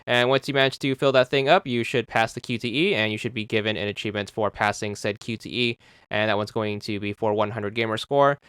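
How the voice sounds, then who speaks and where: Man narrating game guide, smooth voice, dry close sound, methodical. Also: fairly low pitch, a little fast, no room sound. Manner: steady, methodical, repeats instructions. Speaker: achievement guide host. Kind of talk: instructional gameplay walkthrough.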